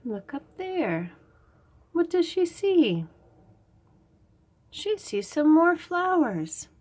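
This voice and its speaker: Lively, unhurried woman storyteller for young children. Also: a little high. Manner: simple short phrases with questions to the listener. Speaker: children's read-aloud host. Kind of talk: storytelling to young children, describing picture book pages.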